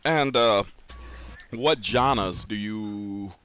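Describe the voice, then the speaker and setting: A man hosting a chat interview show, speaking in halting, hesitant phrases. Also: on the low side, fairly bright tone. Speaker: talk show host.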